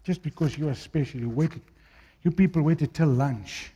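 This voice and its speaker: A man from South Africa with an Afrikaans accent preaching a sermon, swinging between loud declaiming and quiet, low asides. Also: normal speed, lo-fi sound, noisy background.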